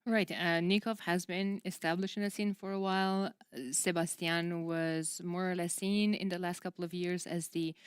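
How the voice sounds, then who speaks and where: A woman's voice: on the low side, steady tone, moderate pace, smooth, dry recording, studio quality. A woman co-commentating a live esports tournament, speaking English as a non-native language. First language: not English.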